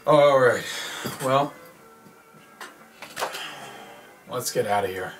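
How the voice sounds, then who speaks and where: A man's voice: mid-range voice, unhurried, faint music behind, natural voice. A man performing a film monologue as an easygoing, wisecracking astronaut who coaxes and reassures in a wry, conversational way. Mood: wry, casual.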